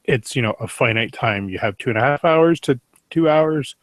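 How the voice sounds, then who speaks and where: A man with a medium-pitched voice talks as a podcast panelist. His speech is casual and the recording is dry and close. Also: lively intonation, moderate pace, dry recording. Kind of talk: casual chat.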